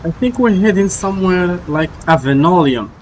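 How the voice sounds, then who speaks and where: A man's voice: high voice, lively intonation, normal speed, a little hiss or hum, rough recording. A man who streams games, giving casual live reactions in informal asides to the game. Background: game music.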